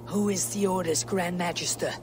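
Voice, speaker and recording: Woman doing a proud viking fighter lady for a game, low husky voice, clipped n dry, music behind. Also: steady tone, normal speed, close to an everyday voice. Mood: wry, probing. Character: stoic, proud female Viking warrior, wry and probing. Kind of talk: video game cutscene acting.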